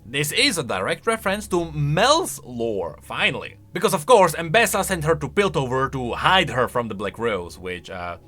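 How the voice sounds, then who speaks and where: A man's voice: fairly high pitch, sing-song, normal speed, few pauses, sharp tone. A man giving casual, conversational video game lore commentary, thinking aloud and posing questions. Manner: conversational, speculative, rhetorical questions. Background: faint music.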